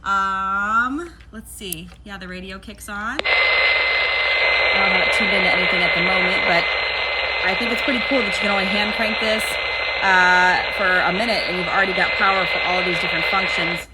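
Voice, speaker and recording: A woman's voice: mid-range voice, steady tone, moderate pace, very noisy. A woman giving a casual, chatty product demonstration, rambling a little as she thinks aloud. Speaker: product reviewer.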